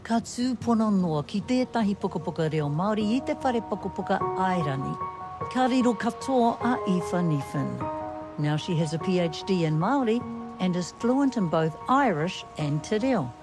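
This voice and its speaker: A woman's voice: mid-range voice, lively intonation, normal speed. A woman reporter narrating a news feature with a wry tone, moving between English and Māori. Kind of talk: scripted news narration and interviewing. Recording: music bed behind narration.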